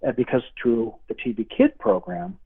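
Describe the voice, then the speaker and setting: A man's voice: mid-range voice, big pitch swings, normal speed, gravelly, breathy edge. A middle-aged man with a Boston accent, a guest speaking earnestly about faith in an interview. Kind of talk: interview over the phone. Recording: tinny phone line.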